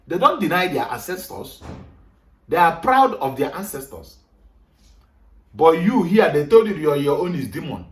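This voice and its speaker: Man, Nigerian Igbo accent, emphatic lecturing, fairly high voice, echoey room, distant mic. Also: big pitch swings, normal speed, long pauses. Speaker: speaker on culture. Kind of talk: lecture-style talk to a group.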